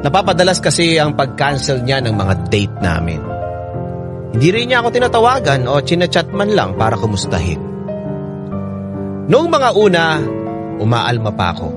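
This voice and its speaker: Lovelorn young narrator, Filipino accent, animated pitch, confiding, radio drama, loud music bed. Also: male voice, normal speed, pauses often. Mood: wistful, frustrated. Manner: expressive, confiding. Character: chatty, lovelorn young letter writer confiding in listeners.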